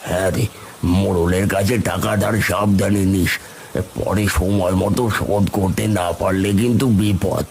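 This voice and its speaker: A man's voice: sits low, steady tone, moderate pace, vocal fry, a little mellow, slight background noise. A man voicing a friendly older village uncle in a cartoon, familiar and advisory in manner. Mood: friendly, cautioning. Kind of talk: cartoon voice acting.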